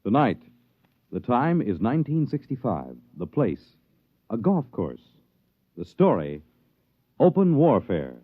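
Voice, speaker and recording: A man's voice: medium pitch, huge pitch swings, dry recording. Dramatic American radio announcer man, sweeping intonation. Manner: dramatic, deliberate. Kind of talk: 1950s radio announcing, scripted narration. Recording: dull 1950s radio broadcast recording. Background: music.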